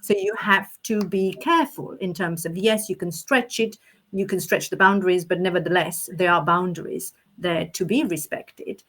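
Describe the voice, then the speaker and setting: A woman's voice: lowish voice, normal speed, fairly bright tone. A woman from Romania talking through ideas in a podcast conversation, thoughtful and often rephrasing as she goes. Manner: thoughtful, with frequent restarts and rephrasing. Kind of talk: reflective conversational chat.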